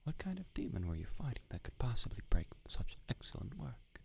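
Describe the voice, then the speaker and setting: A man playing a prickly, secretly smitten swordsmith in a soft, half-whispered ASMR roleplay, halting and teasing as he talks. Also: medium pitch, very animated. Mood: grudgingly impressed. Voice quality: breathy, gravelly, often half-whispered. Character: tsundere male swordsmith, prickly yet flustered, secretly smitten.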